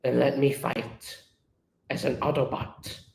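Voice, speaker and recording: A man voicing a tormented robot warrior with a growling, slow, dramatic delivery, recorded in an echoey room. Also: medium pitch, little pitch movement, gravelly, a little mellow. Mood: resolved. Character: tormented robot warrior soldier, growling, defiant, later softened.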